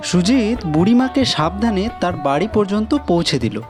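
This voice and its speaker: A man's voice: high voice, lively intonation, a little fast, over music. A man reading scripted narration for a cartoon folk story.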